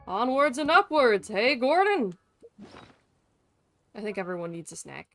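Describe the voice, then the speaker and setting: Playful, sweary streamer, medium-pitched feminine-sounding voice. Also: very animated, unhurried, fairly bright tone, soft background music. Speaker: livestreamer. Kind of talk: casual gaming commentary.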